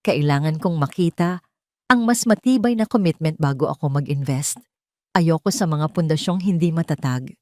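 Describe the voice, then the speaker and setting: Calm, vengeful Filipino woman, acted by a narrator. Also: sits low, no room sound, studio quality, natural voice. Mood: cool authority. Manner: measured, controlled. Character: poised vengeful woman, calm, cold, quietly menacing. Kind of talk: audio drama storytelling.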